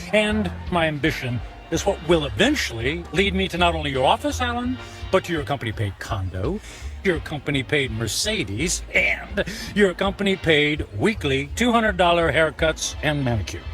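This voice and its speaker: A man's voice: a little high, very animated, moderate pace, a bit bright, soft background music. An actor playing a smug, ruthless, self-absorbed businessman in a radio drama, sarcastic and dryly mocking. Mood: smug, boastful.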